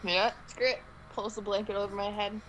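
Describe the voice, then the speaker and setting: A woman playing a tabletop game, answering in character with dry, quick retorts. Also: medium pitch, flat delivery, a bit bright, a little hiss or hum, rough recording. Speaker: player.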